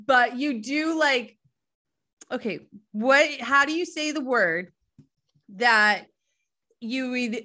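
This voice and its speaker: A woman's voice: on the high side, slow, long pauses, pushed and bright. A woman from southern New Hampshire hosting a casual chat show, chatty and laughing easily as she reads listener questions. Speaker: podcast host.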